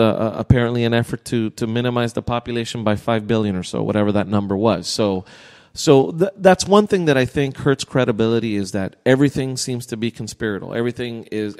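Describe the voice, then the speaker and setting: A man in a casual debate, speaking in a skeptical, challenging way and pressing with questions. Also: medium pitch. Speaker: podcast co-host. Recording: reverberant hall-like room echo.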